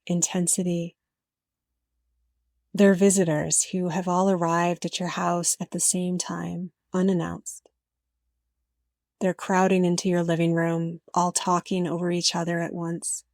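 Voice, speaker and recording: Gentle, even-toned woman guiding meditation. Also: lowish voice, no echo, clean studio sound. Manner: gentle, unhurried, with frequent pauses. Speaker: meditation guide. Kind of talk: guided meditation narration.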